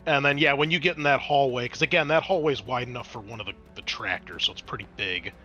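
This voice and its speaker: A man's voice: medium pitch, quick pace, bright, forceful tone, dull audio, soft background music. A man acting as game master, casually explaining rules and narrating a tabletop game.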